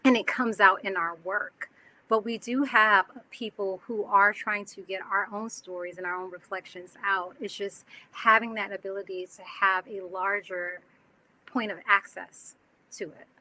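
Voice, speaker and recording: A woman filmmaker on a video-call panel, speaking thoughtfully with halting restarts. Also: mid-range voice, fairly even intonation, bright, forceful tone, poor audio. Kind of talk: panel discussion.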